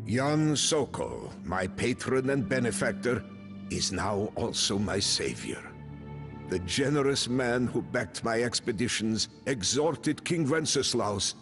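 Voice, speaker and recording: An actor playing a grim, proud medieval Bohemian mercenary commander, narrating his story solemnly and deliberately for a video game. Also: mid-range voice. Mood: solemn gratitude. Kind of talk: dramatic scripted first-person narration. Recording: clean voice-over with music behind.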